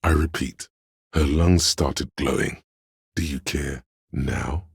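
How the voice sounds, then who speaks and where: Very deep, husky erudite encyclopedia. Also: male voice, steady tone, dry recording, clean studio sound, heavily acted. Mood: solemn, ominous. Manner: measured, scholarly, ominous gravity. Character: erudite, pedantic encyclopedia voice inside a detective's mind. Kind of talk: scripted game narration, lecture-like.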